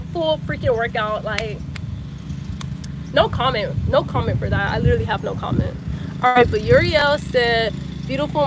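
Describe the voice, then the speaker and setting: A woman's voice: high-pitched, heavy background noise. A woman, chatting casually with viewers on a phone livestream and repeating her phrases. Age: 20s. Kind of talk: casual live chat with viewers.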